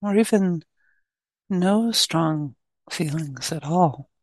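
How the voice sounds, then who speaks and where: Slow, low-pitched woman guiding meditation. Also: no room sound. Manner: gentle, long pauses between short phrases. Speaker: meditation teacher. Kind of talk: guided meditation.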